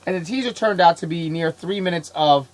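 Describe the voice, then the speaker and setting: A man hosting a casual podcast, sick and coughing, talking in an excitable, rambling way and laughing often. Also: on the high side, fairly quick. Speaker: podcast host. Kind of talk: casual chat.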